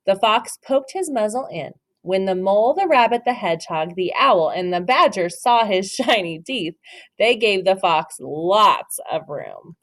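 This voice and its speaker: Woman reading a storybook to a kid, voice all over the place, gentle and encouraging. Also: medium pitch, animated, measured pace. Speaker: teacher reading aloud. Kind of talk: children's read-aloud with questions.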